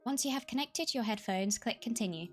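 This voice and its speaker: High-voiced woman giving an upbeat tutorial. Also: lively intonation, brisk. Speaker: host of a software tutorial. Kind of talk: scripted tutorial narration with casual enthusiasm. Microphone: Blue Yeti desktop microphone. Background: background music.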